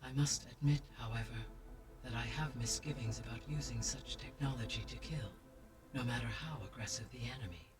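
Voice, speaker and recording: A woman voicing a calm, formal female AI guardian in a video game, speaking in a measured, precise way. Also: a bass voice. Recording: distant game audio with music.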